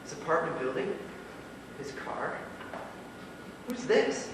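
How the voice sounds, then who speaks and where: A man's voice: a little high, very animated, slow pace. An actor playing an obsessive, suspicious, insistent young man in a live amateur stage play. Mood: intent. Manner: insistent, often echoes the other speaker's words. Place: small theatre stage, distant microphone. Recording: echoey, noisy theatre recording from far off.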